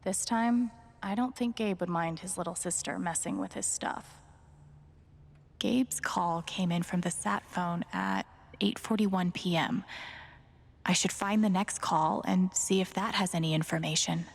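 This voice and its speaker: Husky young woman sleuth. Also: lowish voice, unhurried, heavily acted. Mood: determined, musing. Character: determined young woman investigator, dead man's little sister. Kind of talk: game character inner monologue.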